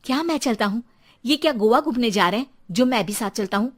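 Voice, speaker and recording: A woman's voice: on the high side, expressive, brisk, very noisy, lightly acted. A woman voicing an agitated, sarcastic young married woman in a cartoon horror story. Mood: sarcastic scolding. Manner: scolding, sarcastic, exclaiming. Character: agitated, sharp-tongued young married woman, frightened of ghosts.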